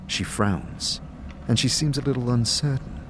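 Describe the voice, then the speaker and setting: A man's voice: medium pitch, a little slow, noisy background. A man giving scripted, dramatic second-person narration for a fantasy audio drama, as narrator and game master. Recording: music behind the voice. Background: music.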